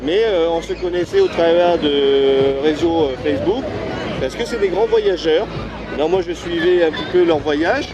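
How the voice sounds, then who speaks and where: A man's voice: on the high side, moderate pace, highs cut off. A man hosting a casual travel interview, eager and laughing often, with many fillers and false starts. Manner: hesitant, many fillers and restarts. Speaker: travel vlogger host. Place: busy city street outdoors. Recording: loud street crowd noise. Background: crowd and traffic.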